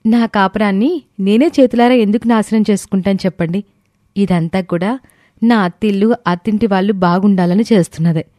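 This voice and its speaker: A woman's voice: medium pitch, fairly quick, warm tone, no room sound, studio quality, natural voice. A woman playing a composed, earnest young woman in a family story. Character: composed young wife and daughter in a family tale. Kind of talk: acted story dialogue.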